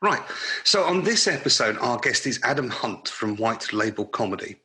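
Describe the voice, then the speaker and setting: A middle-aged British man narrating a scripted podcast as host, instructive, speaking in short deliberate phrases. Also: mid-range voice, very animated, bright, forceful tone, slight background noise.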